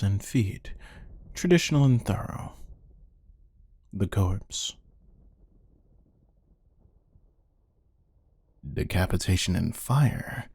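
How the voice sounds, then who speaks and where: A man playing a menacing, amused hired killer who taunts a captive in a deadpan, unhurried ASMR roleplay monologue. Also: deep voice, gravelly, dry recording, studio quality, exaggerated voice. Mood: probing, cold.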